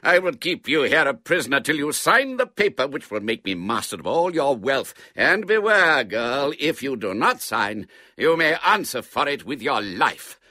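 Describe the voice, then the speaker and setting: A man's voice: mid-range voice, sing-song, normal speed, sharp tone, dry recording, clean studio sound, pitched above the performer's own voice. A man voicing a villainous elderly man in a storybook, sneering and theatrical with explosive bluster. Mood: sneering menace. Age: older.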